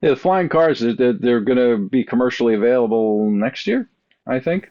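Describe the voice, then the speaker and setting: A man's voice: medium pitch, expressive, moderate pace. A man chatting casually as a podcast panelist, rambling along in a wry way. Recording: dull, dry podcast audio.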